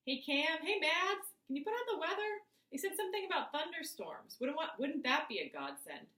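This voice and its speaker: A woman's voice: high-pitched, sing-song, normal speed, pushed and bright, roomy sound, pitched above the performer's own voice, full character voice. A woman voicing a genial, teasing, mildly grumbling suburban dad in a children's story. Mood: chatty, grumbling.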